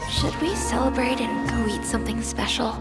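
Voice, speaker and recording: Woman as a friendly young townswoman, fairly high voice, straightforward, upbeat, video game dialogue, clear music behind. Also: a little fast, lightly acted.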